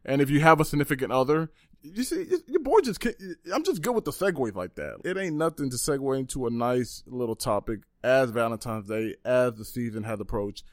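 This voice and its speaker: A man co-hosting a casual podcast, laid-back and teasing, with low mumbled asides. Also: big pitch swings, a little fast, no echo, clean studio sound. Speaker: podcast co-host. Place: indoors at home.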